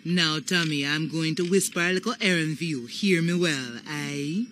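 An actress playing a sly older Haitian voodoo matriarch with a Caribbean accent, coaxing and ominous in a video game. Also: female voice, low-pitched, moderate pace, a bit bright, slight background noise. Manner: coaxing, ominous, dialect phrasing. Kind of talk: video game cutscene acting.

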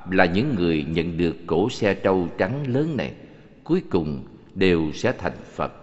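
A man voicing a serene, authoritative Buddha in an animated scripture film, teaching in a measured, solemn delivery. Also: deep voice. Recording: muffled, long cavernous reverb.

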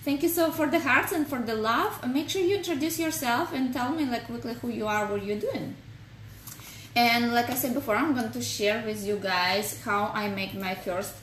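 A woman's voice: mid-range voice, a bit bright. A woman in her thirties with a strong Russian accent, a business coach talking casually on a live stream, greeting viewers and using many fillers. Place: home office, indoors. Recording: echoey room with faint noise.

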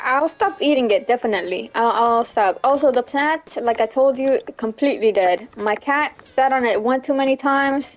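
A young woman streaming a game, chatting casually with viewers and laughing often. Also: sits high. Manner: chatty, laughs often, repeats "you know what I'm saying". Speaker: livestreamer. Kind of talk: casual chat while gaming, reading chat.

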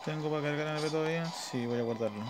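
A man's voice: fairly high pitch, quick pace. A man with a Chilean Spanish accent gives casual, slangy live gameplay commentary, muttering to himself as he plays. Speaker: gamer, video creator. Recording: game music behind voice. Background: mobile game battle music.

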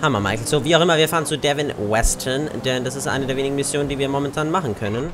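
A man's voice: mid-range voice, rapid-fire. A young man with an Austrian German accent giving casual, chatty commentary over his gameplay and cursing at other drivers. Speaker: let's play gamer. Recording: game car noise under voice.

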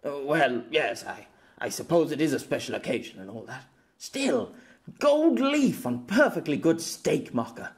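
A male narrator plays a boastful middle-aged man in an audiobook story. He blusters and stammers, easily flustered and quick to outrage. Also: on the high side, big pitch swings.

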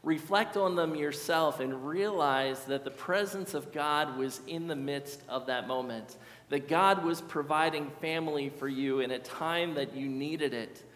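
A middle-aged male pastor delivers a sermon in a measured, earnest voice that sits somewhat high for a man. He slows down to repeat key phrases for emphasis. His voice rings through a large sanctuary with a long, echoing reverb. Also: fairly bright tone. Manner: earnest, measured preaching with slow emphatic repetitions. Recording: echoey church hall.

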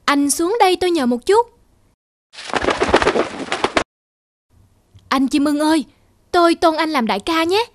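A woman's voice: high voice, lively intonation, a little fast, very noisy. A woman voicing a small, naive young turtle in a cartoon, eager, pleading and insistent. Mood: calling out.

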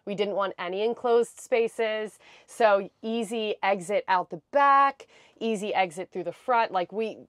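A woman's voice: mid-range voice, a little slow, no echo, studio quality. A young American woman giving a chatty, explanatory walkthrough tour inside a small camper van, speaking casually into a clip-on mic. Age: young adult. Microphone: clip-on lapel mic.

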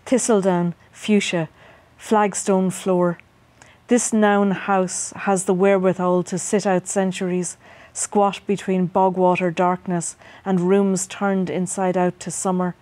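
A middle-aged Irish woman poet, reading her work and answering interview questions in a measured, hesitant way with many ums, recorded on a studio set with a lapel mic. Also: lowish voice, mellow, no echo. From Ireland. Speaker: poet guest.

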